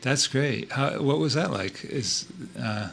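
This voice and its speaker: A man hosts a casual interview in a voice of average pitch. He asks curious questions, often stops partway through, and fills the gaps with fillers, over a faint background noise. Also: takes it slowly, gravelly, a bit bright. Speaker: podcast host.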